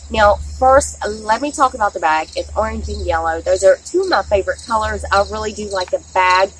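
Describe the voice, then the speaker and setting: A woman, chatty and enthusiastic, reviewing makeup in her backyard, her voice a little scratchy from a sore throat. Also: medium pitch. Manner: chatty, enthusiastic, says "y'all" and "guys" often. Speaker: beauty vlogger. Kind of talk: casual product review. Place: outdoors in a backyard. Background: crickets and insects, barking dogs.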